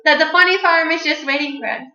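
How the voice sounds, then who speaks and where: A woman's voice: high voice, fairly even intonation, fast, bright, forceful tone. A young woman hosting a casual vlog with friends, chatty and giggly, in a small room at night on a laptop webcam. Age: young adult. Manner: chatty and giggly, breaks into singing. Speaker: vlog host. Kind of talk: casual chat. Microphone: laptop webcam at desk distance. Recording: roomy, dull lo-fi webcam sound.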